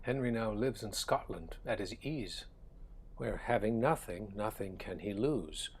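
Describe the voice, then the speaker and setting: An actor playing a proud, blunt earl and envoy in a Shakespearean drama, declaiming formal verse. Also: male voice, on the low side, animated, unhurried, a little hiss or hum. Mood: scornful. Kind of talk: Shakespearean stage-style audio drama acting.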